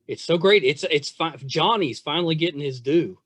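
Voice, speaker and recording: A man's voice: fairly high pitch, normal speed, a bit bright. A man interviewing a guest in a casual, chatty and agreeable way, often restarting his sentences. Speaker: podcast interviewer.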